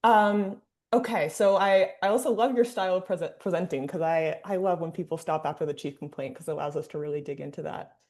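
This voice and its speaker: A woman with a low, mellow voice talks through a medical case over a video call. She thinks aloud and uses frequent fillers. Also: a little fast. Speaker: clinician discussant. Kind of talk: teaching discussion.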